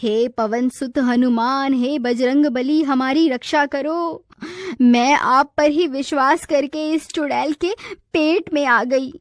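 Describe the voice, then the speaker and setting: A woman's voice: high-pitched, fairly even intonation, slow, dry recording, clean studio sound. A woman voicing a bold, feisty village wife in a cartoon story. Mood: pleading. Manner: bold, defiant. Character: brave, feisty village wife defending her husband.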